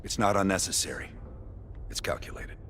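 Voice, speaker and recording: An actor playing a gruff, calculating, cool-headed veteran spy team leader in a video game, speaking in terse, dry, measured lines. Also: male voice, animated, a bit bright, a little hiss or hum. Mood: firm, commanding. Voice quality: low gravelly voice. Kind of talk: cinematic game dialogue acting.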